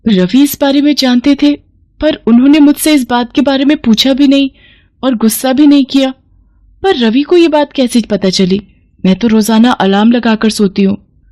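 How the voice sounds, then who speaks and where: Woman playing a high-voiced, meek young daughter-in-law. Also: normal speed, a little mellow, close to an everyday voice. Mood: puzzled. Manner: timid, deferential, earnest. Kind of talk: animated moral story voice acting.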